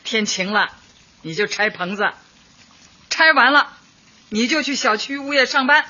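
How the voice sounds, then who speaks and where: A woman's voice: a little high, animated, measured pace, bright, forceful tone, slightly rough, highs cut off, heavily acted. An actress playing an elderly, kindly community Party secretary in a radio drama, hearty, motherly and decisive. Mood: cheerful, decisive.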